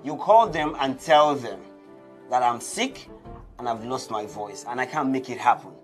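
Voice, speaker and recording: A man's voice: mid-range voice, normal speed, soft background music, natural voice. An actor playing an angry young male singer who scolds his manager. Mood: furious. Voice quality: exasperated, scolding. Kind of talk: TV comedy sketch acting.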